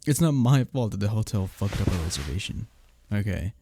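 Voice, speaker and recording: A man playing a smug, taunting office rival in an intimate roleplay audio drama, sardonic and teasing, with a husky low voice. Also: big pitch swings, fairly quick, noisy background. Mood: exasperated, sarcastic. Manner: sardonic, teasing, needling, with breathy chuckles.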